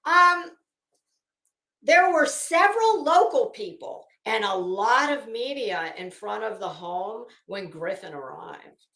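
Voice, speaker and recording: A woman's voice: mid-range voice, animated, slow pace, pushed and bright. A blunt woman giving sarcastic, dramatic commentary as she streams, mixing reading aloud with off-the-cuff remarks and swearing. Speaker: commentary host, live streamer. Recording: echoey, roomy sound.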